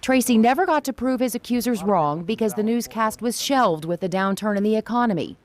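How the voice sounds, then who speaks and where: A woman's voice: on the high side, expressive, fairly quick, a little mellow. Woman news anchor, polished scripted narration.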